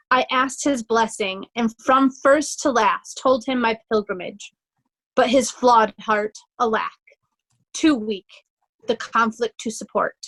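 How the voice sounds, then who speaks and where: A woman playing a noble, sorrowful young man in a Shakespearean stage reading, telling his tale in measured phrases. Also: fairly high pitch, a bit bright, rough recording. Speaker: amateur actor in a reader's theatre.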